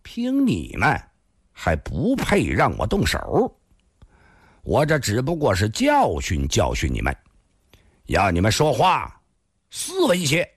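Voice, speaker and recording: A man's voice: mid-range voice, huge pitch swings, husky, no echo, studio quality, full character voice. A man voicing a cold, sneering martial artist in an audiobook, stretching out each word with menace. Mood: contemptuous. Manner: slow, word-by-word, deliberate delivery.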